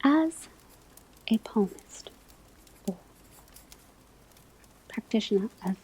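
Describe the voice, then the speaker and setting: A woman performing an ASMR classroom roleplay as a calm, formal witch school teacher, speaking softly and often dropping into a whisper. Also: mid-range voice, poor audio. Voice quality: soft, breathy, often whispered. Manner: measured, formal, precise phrasing. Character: calm, kindly but strict witch school divination teacher.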